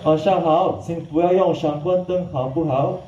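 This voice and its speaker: A man whose first language is Mandarin, presenting a live night animal show in an outdoor amphitheater, hyping the crowd and narrating in a theatrical, drawn-out way. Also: on the high side, warm tone, highs cut off, a little hiss or hum. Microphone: PA system heard from the audience. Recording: echoey PA sound from far off.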